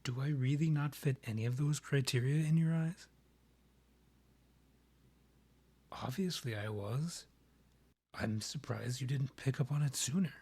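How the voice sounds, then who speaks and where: A man playing a haughty vampire monarch, a proud rival and ex-lover, with formal, measured, archly condescending phrasing in a romantic roleplay audio drama. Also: mid-range voice, darkish tone, slightly rough. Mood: affronted, dry.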